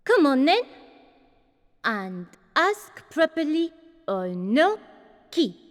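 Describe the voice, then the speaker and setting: Spoiled noble girl, morbid, petulant, bossy, slow delivery, lively pitch swings, reverberant hall, TV drama. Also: full character voice. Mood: demanding. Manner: petulant, blunt, imperious. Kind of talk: TV drama acting.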